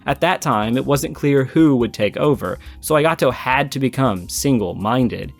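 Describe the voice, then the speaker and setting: A man's voice: medium pitch, expressive, unhurried, creaky. Irreverent man narrating over music. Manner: irreverent, profane, jokey. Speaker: video game story analyst. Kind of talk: scripted video essay narration with casual asides. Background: background music.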